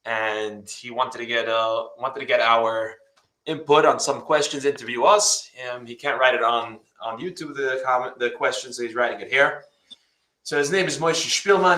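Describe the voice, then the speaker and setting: Man from New York, live co-host reading out chat, bright forceful tone, echoey room. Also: medium pitch. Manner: relays viewer messages in a loose, filler-heavy way. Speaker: co-host reading viewer questions.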